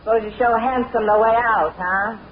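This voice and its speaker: A woman's voice: fairly high pitch, fairly even intonation, normal speed, lightly acted. An actress playing a tough, mocking female gang accomplice, talking in a brash, slangy, sneering way. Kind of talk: 1940s radio acting. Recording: tinny, hissy 1940s radio broadcast.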